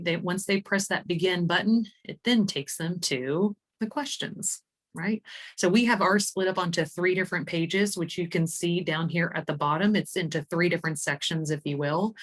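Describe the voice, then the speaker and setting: A woman presenting a conversational webinar demo, explaining things informally as she goes, with little tag questions. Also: low voice. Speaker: presenter demonstrating survey software. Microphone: computer mic on a screen-share video call.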